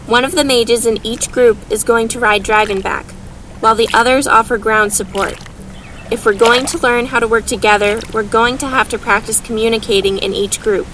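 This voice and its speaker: Young mage leader, female actor: higher voice, determined, plain-spoken; fantasy audio drama, faint noise underneath. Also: fairly even intonation, moderate pace, fairly bright tone, close to an everyday voice, rough recording. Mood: rallying. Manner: informal, direct.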